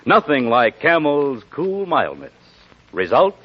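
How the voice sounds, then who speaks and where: A man's voice: medium pitch, huge pitch swings, slow. A man reading a 1940s radio commercial as announcer, polished and emphatic. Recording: dull lo-fi 1940s radio recording.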